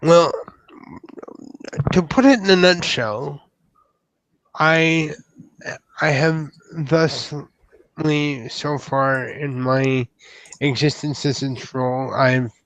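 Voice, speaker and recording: A man playing a shy, polite, lovelorn male troll, with halting and formal wording in a tabletop roleplay. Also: fairly high pitch, steady tone, a bit bright, dry recording, exaggerated voice, low-quality recording. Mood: shy, sad.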